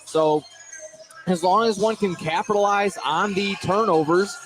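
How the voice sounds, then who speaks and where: A man's voice: high voice, a little slow. An American man giving informal live basketball analysis as a color commentator, often repeating his words and phrases. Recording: clearly noisy gym ambience.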